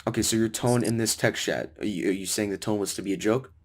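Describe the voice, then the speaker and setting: A man's voice: lowish voice, fast. A man grilling someone with pointed, interrupting questions, openly frustrated. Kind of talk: confrontational questioning.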